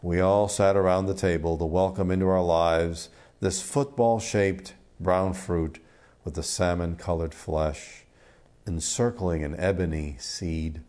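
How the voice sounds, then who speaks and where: An older Cuban-born man who grew up in Miami, a poet, speaking in a thoughtful, deliberate way. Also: low-pitched, steady tone, darkish tone. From Cuba, came to the US as a child and grew up in Miami. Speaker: poet and art critic. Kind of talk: reflective interview talk and poetry reading.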